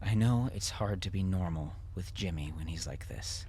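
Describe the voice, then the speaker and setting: A man's voice: deep voice, normal speed, warm tone, slightly rough, exaggerated voice. A man voicing a defensive middle-aged doctor, husband and father, with a firm, weary, self-justifying manner in an audiobook. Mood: reassuring.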